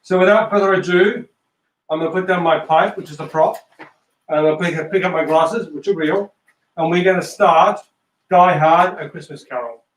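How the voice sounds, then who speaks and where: A roomy, slightly distant recording of a man with a fairly high voice. He is the host of a play reading, speaking casually and reading out scripted narration. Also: moderate pace. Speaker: host and stage-direction reader.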